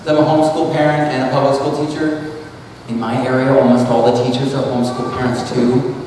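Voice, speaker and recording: A man's voice: medium pitch, little pitch movement, brisk, darkish tone, recorded from a distance, slight background noise. Earnest man talking in an echoey hall. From New England. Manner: rambling, restarts often, conversational and earnest. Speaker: speaker at an expo. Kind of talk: casual presentation. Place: large hall with audience. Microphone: handheld mic, far camera.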